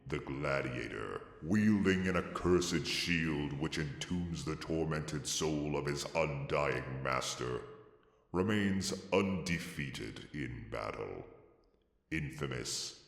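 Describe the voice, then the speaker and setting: An actor playing a grave, ominous game narrator, growly and imposing, enunciating every word portentously. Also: male voice, a bass voice, expressive, slow pace, gravelly, faint music behind, heavily acted. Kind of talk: solemn dark-fantasy game cutscene narration. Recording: echoey hall-like reverb.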